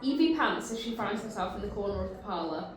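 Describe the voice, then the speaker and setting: A woman's voice: medium pitch, animated, fast, heavy reverb, soft background music. A woman reading narration and stage directions at a table read.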